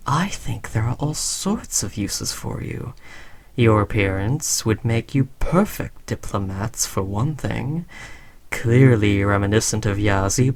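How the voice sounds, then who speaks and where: A man playing a smug, condescending alien conqueror in an audio roleplay, talking slowly to a human servant with taunting, patronizing fondness. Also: on the low side. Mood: lofty, explaining. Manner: slow, taunting, patronizing praise. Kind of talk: scripted audio roleplay addressed to the listener.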